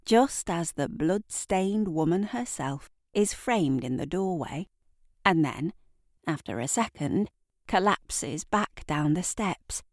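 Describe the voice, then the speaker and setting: A woman reads scripted literary fiction as a theatrical storyteller. Her voice sits a little low and she takes her time, with very measured delivery. The sound is dry and close. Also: lively intonation, dry recording. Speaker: author and narrator.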